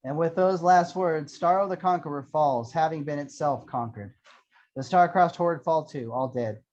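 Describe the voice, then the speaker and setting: Higher-pitched man narrating action, dull recording. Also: normal speed, a little mellow. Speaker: reader of screenplay action lines. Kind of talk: scripted narration at an amateur table read. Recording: dull lo-fi sound.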